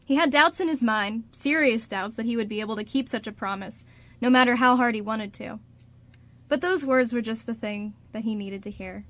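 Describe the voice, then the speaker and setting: A woman's voice: fairly high pitch, normal speed, a bit bright. A woman reading scripted narration for a radio drama.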